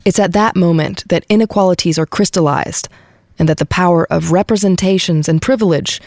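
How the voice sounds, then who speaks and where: A woman reading scripted documentary narration in a measured, even way. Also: lowish voice, dry recording, clean studio sound. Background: music bed.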